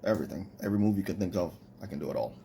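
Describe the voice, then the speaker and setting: A man's voice: sits low, rapid-fire, creaky, dark tone, slight background noise. A man in his forties with a Trinidadian accent, boasting and mocking his critics in a casual rant. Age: 40s. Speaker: commenter in a stitched video.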